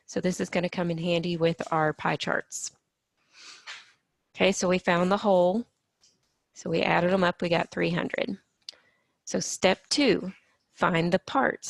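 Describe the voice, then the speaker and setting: Brief: hoarse, gravelly-voiced woman math teacher, lower pitch, patient step-by-step explaining, dry close sound. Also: steady tone, measured pace, dry recording. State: slightly hoarse from allergies. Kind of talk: recorded math lesson.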